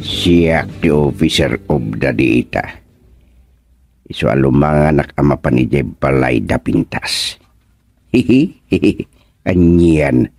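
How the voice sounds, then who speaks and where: An actor with an Ilocano accent playing an excitable, scheming village official in a radio comedy, hemming and hawing as he talks. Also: very deep voice, takes it slowly, long pauses, no room sound, clean studio sound, faint music behind, full character voice. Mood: gleeful.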